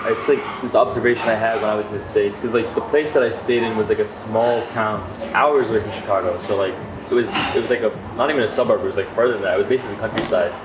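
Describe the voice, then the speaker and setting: A man's voice: fairly low pitch, steady tone, racing, reverberant, background noise. A young man in his twenties from Dubai, chatting casually as co-host with lots of fillers. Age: 20s. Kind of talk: casual conversation. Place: cafe.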